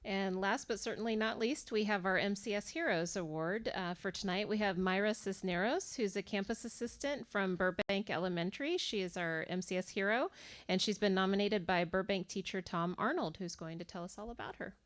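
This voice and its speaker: A woman's voice: mid-range voice, fairly quick. A woman presenting awards at a ceremony, making announcements and introductions.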